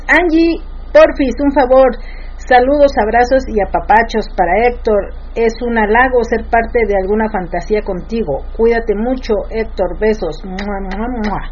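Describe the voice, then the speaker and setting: A woman's voice: fairly high pitch, normal speed, a little mellow, muffled recording. A woman hosting a radio show, chatty as she reads listener messages. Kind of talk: casual radio chat.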